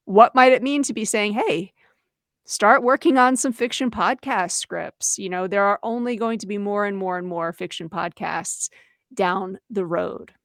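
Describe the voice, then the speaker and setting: A woman's voice: mid-range voice, no echo, clean studio sound. A woman co-hosting a webinar for teachers, explaining conversationally and voicing imagined quotes as she goes. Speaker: webinar co-host, educator.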